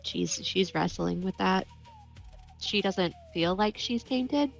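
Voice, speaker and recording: A woman's voice: mid-range voice, takes it slowly, creaky, soft background music, rough recording. A woman playing a tabletop game, casually explaining her character's posture and thoughts. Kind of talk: casual in-character roleplay description.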